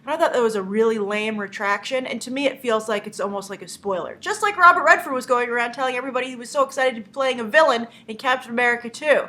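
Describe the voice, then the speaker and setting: A woman's voice: a little high, fast talker, hardly any pauses, pushed and bright. A young woman with a cold and a stuffy nose, hosting a casual movie news show, chatty and opinionated, with lots of "uh" fillers.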